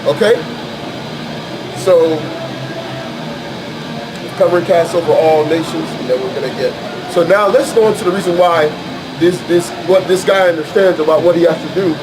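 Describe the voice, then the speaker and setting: A man's voice: fairly high pitch, moderate pace, pauses often, background noise. An American man preaching and teaching scripture informally, arguing his points in an emphatic, repetitive, colloquial way. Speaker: street preacher and Bible teacher.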